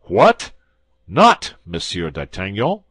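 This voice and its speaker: A severe, blustering commissary barks his questions in a deep voice with a lot of swing in it, as a man reading a story aloud puts him on. There is a slight breathiness in the voice, and the recording is close and dry. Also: very animated, normal speed, no room sound, full character voice. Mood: astonished. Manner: loud, severe interrogating. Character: stern, blustering male police commissary.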